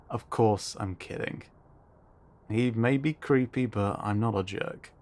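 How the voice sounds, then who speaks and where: A man's voice: fairly low pitch, takes it slowly, a little mellow. A man playing a blunt, playful mechanic who runs a shop, talking in a casual, sardonic way in an audio roleplay. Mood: teasing. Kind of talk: ASMR roleplay audio drama acting. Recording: clear background ambience noise.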